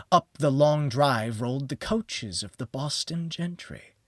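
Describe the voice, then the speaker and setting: A man narrating a gothic horror audiobook in a theatrical manner that moves between hushed near-whispers and heightened exclamation. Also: mid-range voice, dry recording, studio quality. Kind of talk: dramatic scripted horror narration.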